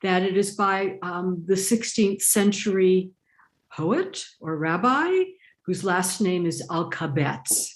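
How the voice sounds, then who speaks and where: A woman's voice: on the low side, lively intonation, takes it slowly. Woman on a zoom call musing, kinda dry humor. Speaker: congregant, synagogue president. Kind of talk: casual chat. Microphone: video call.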